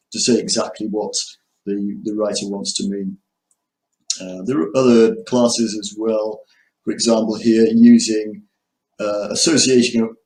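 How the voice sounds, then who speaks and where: A man from the UK introducing himself as an English teacher and walking through his lesson materials, measured, with frequent ums and restarts. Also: on the low side, a little mellow, echoey room, rough recording. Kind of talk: self-introduction and screen walkthrough.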